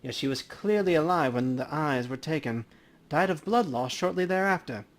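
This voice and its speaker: A man voicing a chatty, folksy male coroner from a video game in an animated, casual manner. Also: a little high, moderate pace. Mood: chatty, upbeat. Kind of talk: acting out video game dialogue.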